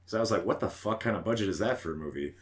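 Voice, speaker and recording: A man's voice: on the low side, animated, racing. A man co-hosting a podcast in casual chat, swearing freely with a sardonic edge. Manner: profane, sardonic. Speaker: podcast co-host.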